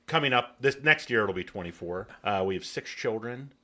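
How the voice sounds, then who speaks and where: A middle-aged man from Pennsylvania, a podcast guest talking in a friendly, rambling way with many pauses. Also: lowish voice, expressive, sharp tone. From Pennsylvania, USA. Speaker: guest, hotel owner. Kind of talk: casual interview talk. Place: podcast studio.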